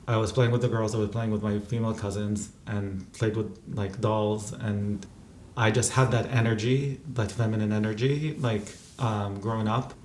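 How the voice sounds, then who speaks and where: A man in his thirties from Qatar speaks in a lowish voice, pausing often and restarting his sentences. The sound is roomy, with faint ambience behind him. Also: darkish tone, slight background noise. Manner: thoughtful, halting, many fillers and restarts. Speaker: interview guest, physician and activist. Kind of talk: reflective interview answers.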